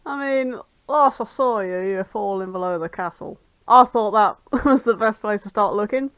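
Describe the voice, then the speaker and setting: A woman's voice: mid-range voice, normal speed, fairly bright tone, clean tone, lightly acted, low-quality recording. A woman voicing an oblivious, cheerful young male adventurer in a fan story reading. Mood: oblivious, casual.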